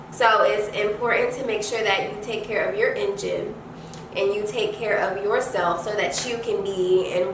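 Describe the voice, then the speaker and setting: Young woman, congested, casual motivational advice, halting conversational delivery, mid-pitched, distant mic, roomy echo. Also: a bit bright. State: congested from allergies. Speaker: host of a self-care advice channel. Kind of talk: casual motivational talk to viewers. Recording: echoey room, distant mic, noisy background.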